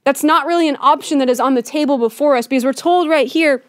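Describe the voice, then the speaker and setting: High-voiced woman preaching, dry sound. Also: fast, dry recording. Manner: conversational and earnest, with frequent hedges like "kind of". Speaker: pastor. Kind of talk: Christmas sermon. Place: church sanctuary with a Christmas tree.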